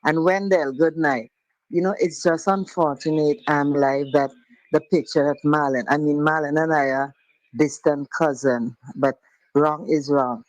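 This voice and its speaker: A woman's voice: deep voice, steady tone, a little slow, darkish tone, no room sound, dull audio. A woman phoning a radio show, chatting in a casual, familiar way. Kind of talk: casual phone-in chat. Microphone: phone call.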